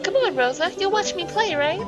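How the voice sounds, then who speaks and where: A woman voicing a bubbly, naive little noble girl in a visual novel, excitable and childlike. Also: extremely high pitch, fairly quick, over loud music, pitched above the performer's own voice. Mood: excited.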